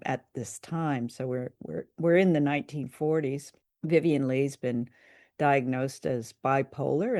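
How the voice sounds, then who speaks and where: A woman's voice: extremely low pitch, mellow, no room sound, studio quality. A woman co-hosting a casual discussion of film and radio drama, speaking in a conversational, appreciative way. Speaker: podcast co-host.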